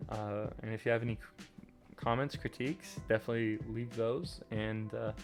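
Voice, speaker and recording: Low guy with a gravelly voice, slight stutter, rambly gamer chat, faint music behind. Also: fairly even intonation. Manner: rambling, halting, many fillers and restarts. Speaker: gaming channel host. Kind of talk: casual commentary while playing. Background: faint lo-fi music and keyboard clicks.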